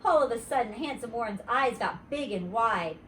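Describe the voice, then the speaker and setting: Woman, teacher reading to children, very animated, storytime narration, roomy distant recording, faint noise. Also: fairly high pitch, normal speed. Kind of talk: animated storybook read-aloud.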